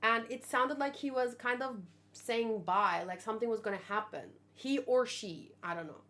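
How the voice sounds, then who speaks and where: A woman hosting a manga read-along, talking in a casual, expressive, thinking-aloud way. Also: on the high side, moderate pace. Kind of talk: casual reaction commentary with read-aloud dialogue.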